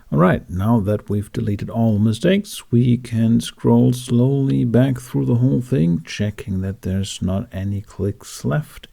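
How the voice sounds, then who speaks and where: A man talking through a software tutorial in a casual, informal way with wry asides. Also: on the low side, gravelly. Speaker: tutorial host.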